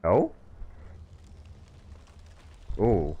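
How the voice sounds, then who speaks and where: Very deep-voiced man streaming a game. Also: huge pitch swings, narrow, tinny audio, slight background noise. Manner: halting, thinking aloud. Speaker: livestreamer playing a video game. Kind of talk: casual live gameplay commentary.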